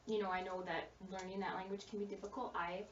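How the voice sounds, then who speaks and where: Brief: soft-spoken woman counselor, encouraging, lots of "okay" and "sure"; muffled, roomy recording with faint hiss. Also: mid-range voice, little pitch movement, quick pace. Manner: gentle, reassuring, frequent fillers and affirmations. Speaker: school social worker. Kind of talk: counseling role-play. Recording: distant, roomy, dull recording.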